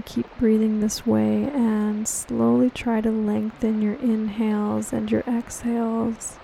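A woman leads a guided meditation in a calm, gentle, reassuring and unhurried way, as soothing scripted narration. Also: fairly high pitch, creaky, a little hiss or hum, poor audio. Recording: steady rain on water behind voice. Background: rain falling on water.

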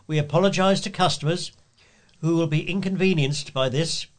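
Higher-pitched man reading news. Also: moderate pace. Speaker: volunteer news reader. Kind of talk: reading local news aloud, scripted.

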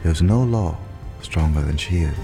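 A bookish, proper and tender male scholar in a radio drama. His voice is very low and creaky, and he speaks slowly and earnestly. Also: music in the background, full character voice. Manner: halting, earnest. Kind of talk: radio play acting.

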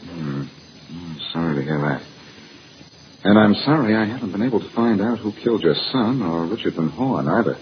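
An American actor playing a brash, wisecracking detective in a 1940s radio drama, punchy and hard-boiled. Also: male voice, low-pitched, expressive, normal speed. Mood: probing, sympathetic. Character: brash, wisecracking amateur detective, tough and confident. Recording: muffled, noisy old radio recording.

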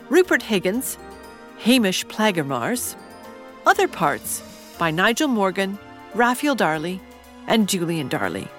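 A woman announcer reading scripted credits for an audio drama in a narrating style. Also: mid-range voice. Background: music.

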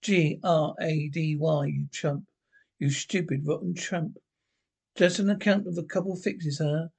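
A snarling, defensive little ex-jockey, played by a man in a one-man reading. He is bitter and boastful, and his voice sits fairly high. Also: steady tone, unhurried, gravelly, a little mellow, breathy edge, full character voice. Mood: bitter sneering.

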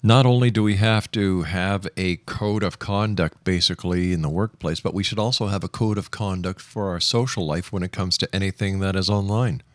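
A man's voice: low voice, moderate pace, hardly any pauses, no echo. A man hosting a radio interview, putting questions to his guest in a conversational way.

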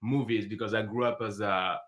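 A man's voice: lowish voice, steady tone, fairly quick, fairly bright tone. A man from the south of France with a French accent, a native French speaker giving casual interview answers as a filmmaker guest.

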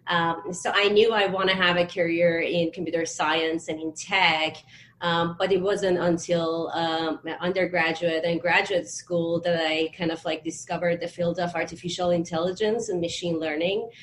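A woman's voice: fairly low pitch, steady tone, moderate pace, slight background noise. A woman from Iran speaking as a tech leader on a panel, thoughtful, with many fillers. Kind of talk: panel discussion.

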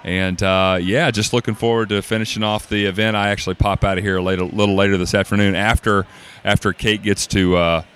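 A man in his thirties, a guest in a casual interview on a busy expo floor, with a low voice and an easygoing, joking way of talking. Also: little pitch movement, fairly quick, fairly bright tone, clean tone. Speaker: guest, cookbook author.

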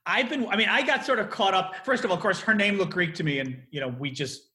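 A man from Chicago with a Midwestern American accent, hosting a casual interview in a rambling, excitable way and swearing freely. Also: sits high, fast talker. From Chicago, United States. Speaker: podcast host.

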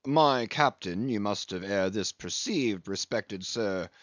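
A man voicing a solemn English ship's surgeon in an audiobook, deadpan, measured and drily pompous. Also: fairly low pitch, no room sound, lo-fi sound.

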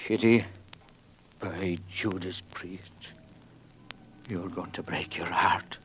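An actor playing a gruff, worried, plainspoken working-class American father in 1940s radio drama style. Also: deep voice, slow pace. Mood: grave. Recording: muffled vintage radio recording with music.

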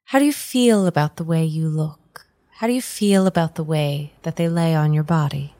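A woman playing a calm, enigmatic tarot reader in an improvised audio drama, speaking in a measured, soothing and formal way. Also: deep voice, no echo, clean studio sound, natural voice. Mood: reassuring. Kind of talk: improvised radio drama acting. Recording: faint background ambience noise.

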